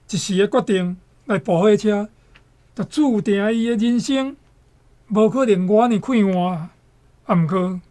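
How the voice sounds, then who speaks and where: A woman's voice: medium pitch, a little mellow. A woman with a Taiwanese accent giving reflective commentary on a book.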